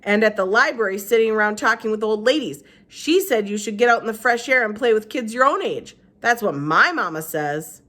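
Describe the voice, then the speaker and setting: Woman doing a taunting tattletale boy, pitched up. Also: expressive, fairly quick, few pauses, fairly bright tone. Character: taunting, tattling small-town schoolboy bully.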